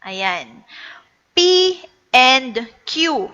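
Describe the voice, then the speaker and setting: A woman's voice: high voice, very animated, drawn-out words, bright, forceful tone, highs cut off. A woman with a Filipino accent giving a recorded lecture, explaining patiently and mixing English with Tagalog. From Philippines. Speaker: teacher. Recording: echoey reverb.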